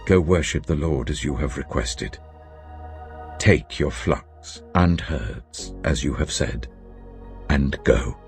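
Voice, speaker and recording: A man with an English accent voicing Pharaoh, a defeated king, in a low, subdued, slow delivery. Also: gravelly, soft background music, exaggerated voice. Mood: yielding.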